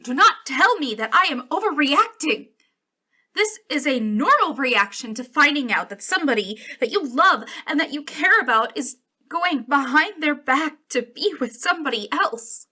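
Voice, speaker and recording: A woman's voice: high-pitched, animated, sharp tone. A woman playing an arrogant, jealous young princess in a dramatic ASMR roleplay, imperious but wounded and emotional. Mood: indignant. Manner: imperious, emotional, halting when hurt. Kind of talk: ASMR roleplay, dramatic one-sided dialogue.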